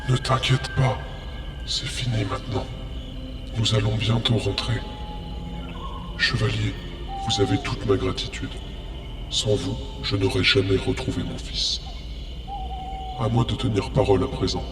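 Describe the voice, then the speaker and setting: A solemn, tender ghost father played by a man speaks slowly in a fantasy audio drama. His grateful, fatherly words echo from afar over loud music. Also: on the low side, fairly even intonation, gravelly. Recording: echoey, distant, under loud music.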